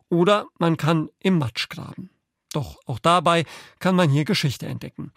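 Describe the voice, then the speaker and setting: Higher-voiced male radio presenter, very dry sound. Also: vocal fry, dry recording. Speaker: magazine show presenter.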